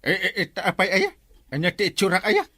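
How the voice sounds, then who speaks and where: An excitable Ilocano village captain, played by a man, grumbles in a bright, fairly high voice that leaps all over. He sounds exasperated and comic, like a radio comedy character. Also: fast, no room sound, clean studio sound, heavily acted. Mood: flustered, indignant. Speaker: lead actor in a comedy drama. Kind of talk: Filipino radio-style comedy drama acting.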